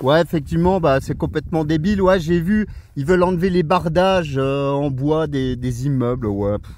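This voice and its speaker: High-pitched Frenchman chatting on amateur radio. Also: moderate pace, darkish tone. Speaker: radio operator on a lunch break. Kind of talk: casual amateur radio chat. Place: outdoors in the mountains.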